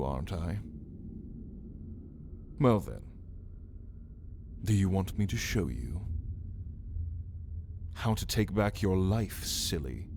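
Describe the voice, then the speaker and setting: A man playing a deep, slow, menacing vampire. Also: darkish tone, slight background noise, full character voice. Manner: slow, theatrical, archaic wording, taunting. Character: brooding, menacing caged male vampire, once a count. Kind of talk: ASMR roleplay, dramatic monologue to listener.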